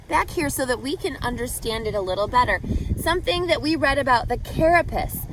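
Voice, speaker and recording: A woman reading a picture book aloud to children as a teacher, expressively, pausing to ask guiding questions and explain. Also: on the high side, a bit bright, a little hiss or hum, poor audio.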